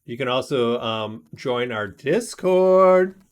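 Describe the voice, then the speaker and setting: A man's voice: medium pitch, animated, slow pace, smooth. A man co-hosting a livestream in casual chat, speaking in short, easygoing remarks. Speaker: co-host of a voice tech show.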